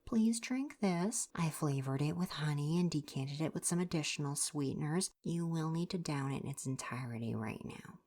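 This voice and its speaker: An eccentric, absent-minded healer god of ancient Greece, acted by a woman in an ASMR roleplay. The voice is very deep. The talk is politely formal, then wanders off into chatty, casual musing. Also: lively intonation, normal speed, hardly any pauses, a little mellow. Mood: brisk, reassuring. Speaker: doctor.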